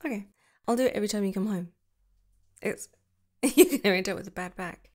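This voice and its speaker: A young woman doing a girlfriend roleplay to the listener, soft and affectionate, giggling and slipping into whispers. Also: mid-range voice, fairly quick. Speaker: ASMR roleplay creator.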